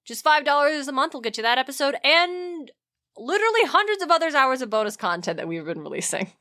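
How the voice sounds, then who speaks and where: A woman's voice: high-pitched, sing-song, fast, bright, forceful tone. A woman co-hosting a podcast in casual, slangy, emphatic conversation. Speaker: podcast co-host.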